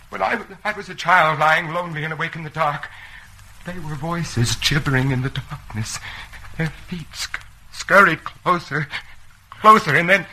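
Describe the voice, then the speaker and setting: Intense, bright-voiced man as haunted dissident lover. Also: fairly high pitch, heavily acted. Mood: haunted, horrified. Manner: intense, theatrical, earnest, dramatic delivery. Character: anguished, idealistic dissident man, secret lover, haunted by fear. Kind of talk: old radio drama acting. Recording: noisy vintage radio drama recording.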